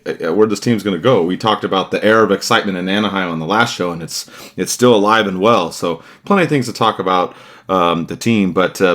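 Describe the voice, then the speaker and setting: A man's voice: lowish voice, a bit bright. A man hosting a sports podcast, chatting casually and rambling with restarts and fillers. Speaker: podcast host.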